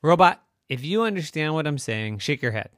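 A man voicing an eager, excitable little boy in a children's story, with an exclamatory, sing-song way of talking. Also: fairly high pitch, moderate pace, dry recording, studio quality, pitched above the performer's own voice. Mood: puzzled, insistent.

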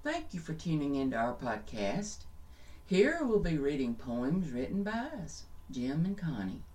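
A woman's voice: low-pitched, big pitch swings, a little slow, dark tone. A woman hosting a podcast, speaking its introduction and sign-off to listeners. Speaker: podcast host. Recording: voice distant from the mic.

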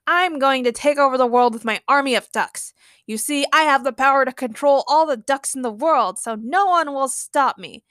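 A woman's voice: high-pitched, normal speed, a bit bright, no room sound, studio quality, voice pushed up. A woman storyteller voicing a boastful, dramatic male villain obsessed with his own power. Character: melodramatic, boastful male duck-commanding villain.

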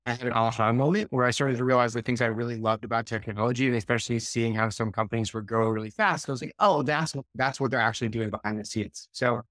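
An upbeat, enthusiastic man hosts a podcast interview. His voice sits at a middle pitch. The recording is close and dry, with no room sound. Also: steady tone, fast, hardly any pauses, fairly bright tone, no echo. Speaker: podcast host.

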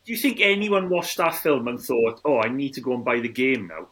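A man's voice: medium pitch, expressive, brisk, over a noisy crowd. A man in his forties chatting casually about a film as a podcast co-host. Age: 40s. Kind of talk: casual film review chat.

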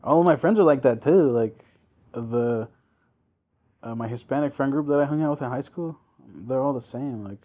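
A man's voice: mid-range voice, moderate pace, long pauses, warm tone, highs cut off. A young man whose first language is Spanish, hosting a casual chat with friends, laid-back, laughing often and saying "dude" and "like". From moved to the US at seven. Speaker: podcast host.

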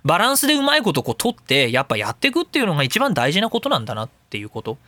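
A man hosting his own talk radio show, rambling in a casual, self-mocking way with rough speech. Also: on the high side, huge pitch swings, very fast, sharp tone, no echo. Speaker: radio show host and voice creator.

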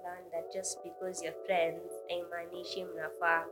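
Kenyan woman, mid-twenties, podcast co-host; lively, laughs a lot, music bed underneath. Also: on the low side. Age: 20s. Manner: animated, laughs often, talks quickly. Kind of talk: casual podcast chat mixing English and Swahili.

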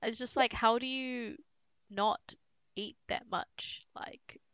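A woman's voice: a little high, steady tone, takes it slowly, fairly bright tone, smooth, no room sound, muffled recording. A young woman, hosting a casual chat with a friend and filling her talk with "like" and laughter. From New Zealand. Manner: chatty, full of "like" fillers, laughs often. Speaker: podcast host.

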